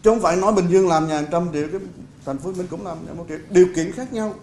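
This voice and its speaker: A man in his forties, a city construction official, giving measured press conference remarks and restarting phrases as he explains. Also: high voice, darkish tone. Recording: roomy hall sound with faint ambience.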